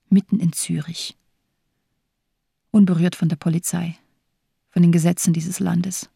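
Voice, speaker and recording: Radio drama: jaded female physician, sardonic and eloquent, subdued dark timbre, very dry close sound. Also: fairly low pitch, gravelly, breathy edge, dry recording, full character voice. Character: bitter, cynical morphine-addicted female hospital doctor.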